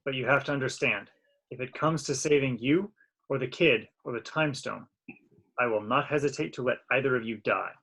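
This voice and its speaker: A man's voice: medium pitch, normal speed, sharp tone, low-quality recording. Man acting stern, grave sorcerer doctor. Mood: grave, resolved. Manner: firm, formal. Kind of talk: amateur table read of a film script.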